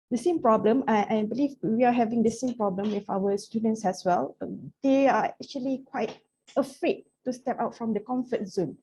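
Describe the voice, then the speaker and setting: A woman's voice: on the high side, dark tone, slightly rough, low-quality recording. A Malaysian woman whose first language is Malay, giving an academic talk in English and often checking in with the audience. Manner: conversational, checks in with "right?". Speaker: lecturer. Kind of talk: academic presentation.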